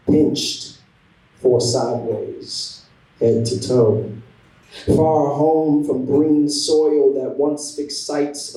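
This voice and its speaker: A man's voice: mid-range voice, warm tone. A man giving a solemn recital of spoken word poetry. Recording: echoey room, distant microphone.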